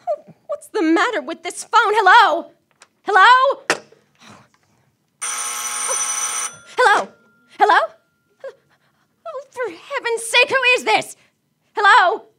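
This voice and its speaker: A woman's voice: very high-pitched, bright, forceful tone, slight background noise, exaggerated voice. A woman acting a fretful, demanding, self-pitying bedridden wife who stammers nervously, in live radio-thriller theater. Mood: frantic, fretful.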